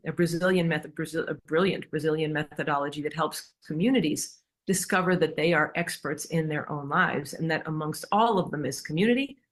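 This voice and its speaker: Interview guest, middle-aged American woman, slightly low voice, direct and emphatic conversational delivery. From New York, United States. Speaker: interview guest, community therapist.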